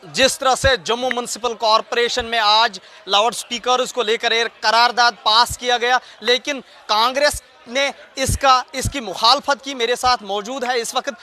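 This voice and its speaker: Extremely high, bright-voiced male reporter. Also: fairly even intonation, moderate pace. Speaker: news reporter. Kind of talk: on-the-spot reporting and questioning.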